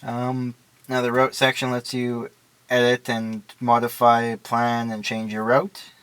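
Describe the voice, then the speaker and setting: A man talks through a product demonstration in an ordinary mid-pitched voice. His delivery is casual and unscripted, explaining each step plainly and matter-of-factly. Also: monotone, a little slow, fairly bright tone, smooth.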